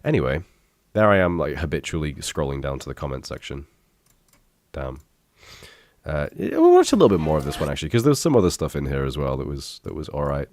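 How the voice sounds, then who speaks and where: Very deep-voiced congested young man. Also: sing-song, fairly quick, a little mellow, dry recording. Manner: rambling, self-deprecating, swears casually. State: congested, bunged up from illness. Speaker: youtuber reacting to his old videos. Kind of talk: casual reaction commentary.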